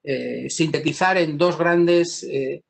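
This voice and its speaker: A man from Spain giving an academic law lecture over a video call, pausing often and restarting his sentences. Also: a little high, gravelly, breathy edge. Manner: thoughtful, many pauses, fillers and restarts.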